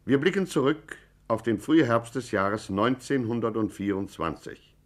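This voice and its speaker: An actor as a mock-serious documentary narrator in a vintage radio play, formal and measured. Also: male voice, mid-range voice, lively intonation, faint music behind. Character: solemn mock-documentary male narrator of gangster chronicle. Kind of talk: scripted 1950s radio narration.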